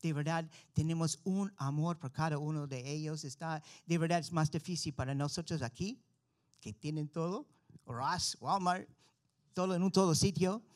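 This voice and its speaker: A man's voice: on the high side, moderate pace. An American man, a native English speaker, giving an earnest missionary testimony and sermon to a church with an American accent. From Florida, United States. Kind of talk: testimony and preaching to a congregation.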